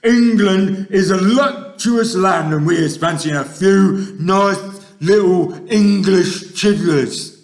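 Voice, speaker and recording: Bellowing man-eating giants, very high and loud, slow, broken grammar, roomy echo. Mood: gloating. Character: huge brutish male man-eating giants, gloating.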